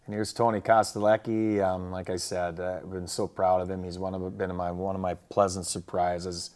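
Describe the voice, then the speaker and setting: A man who coaches football, casually recapping a game and its highlights in colloquial coaching slang. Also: little pitch movement, moderate pace, a little mellow.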